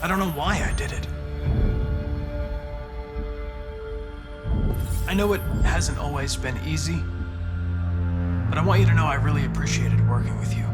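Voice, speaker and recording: A man's voice: a little high, natural voice. A man playing a polite, determined young android detective, speaking formally and precisely in a video game. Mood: hesitant, sincere. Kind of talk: cinematic video game acting. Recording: loud music behind the voice.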